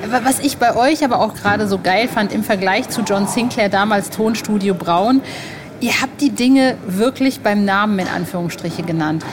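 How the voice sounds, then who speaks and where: A woman's voice: medium pitch, moderate pace, noisy background. A woman hosting an interview in casual, colloquial, enthusiastic chat, gushing as she talks. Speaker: podcast host and interviewer.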